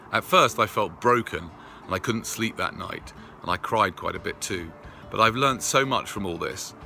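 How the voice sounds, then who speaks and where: A man earnestly reading out a prepared personal update to his followers in a deliberate way. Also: low voice, vocal fry, bright, forceful tone. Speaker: video creator addressing followers.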